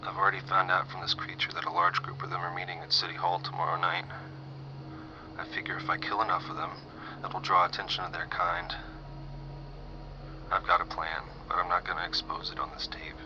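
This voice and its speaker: A man's voice: low voice, fairly quick. A man playing a paranoid army specialist who whispers a tense warning into a tape recorder. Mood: hushed urgent. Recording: muffled noisy tape recording.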